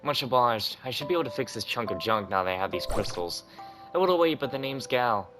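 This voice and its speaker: A man's voice: mid-range voice, animated, fast talker, music in the background, natural voice. A man voicing a brash, grumbling young woman mechanic in a video game, bossy and breezy. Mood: breezy, proud.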